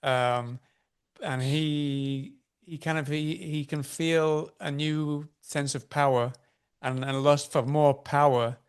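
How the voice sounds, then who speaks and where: A man's voice: a little high, fairly even intonation, takes it slowly, smooth. A man hosting an interview, asking curious, rambling questions with frequent restarts. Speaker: interview host.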